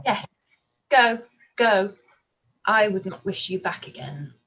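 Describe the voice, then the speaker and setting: A woman's voice: mid-range voice, lively intonation, slow, a bit bright, breathy edge, heavily acted, rough recording. An actress playing an earnest, articulate young woman in a live-read radio play. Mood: cool.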